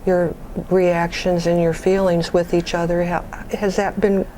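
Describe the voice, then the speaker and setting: A woman plays a supportive bereavement counselor in a phone conversation. Her voice is mellow and slightly low with little rise and fall, measured and reassuring, over faint background noise. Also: little pitch movement, lightly acted, low-quality recording. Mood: gentle, probing.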